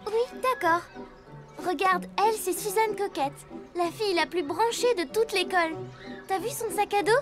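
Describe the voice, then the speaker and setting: A young cartoon elephant girl full of admiration. The voice is extremely high, childlike and chatty. Music plays behind it. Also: normal speed. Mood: admiring. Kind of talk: French cartoon dubbing.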